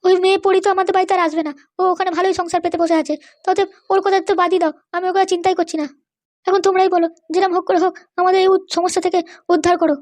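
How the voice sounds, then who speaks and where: An anxious older cartoon parent played by a woman pleads in an extremely high, squeaky voice. The words rush out insistently on a flat, unvarying note, plaintive and always pressing for agreement. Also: racing. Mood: pleading. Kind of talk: amateur cartoon dialogue.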